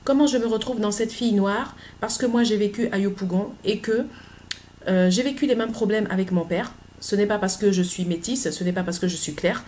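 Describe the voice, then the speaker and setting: A middle-aged woman, talking earnestly in a personal vlog, pausing often with hesitant fillers. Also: medium pitch, a little mellow, slight background noise. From Côte d'Ivoire. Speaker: author.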